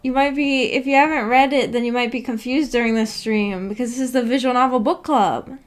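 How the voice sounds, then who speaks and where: High-voiced woman chatting on livestream. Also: fairly even intonation, a little fast. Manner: informal, hesitant, giggly. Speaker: livestream host. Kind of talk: casual livestream chat with viewers.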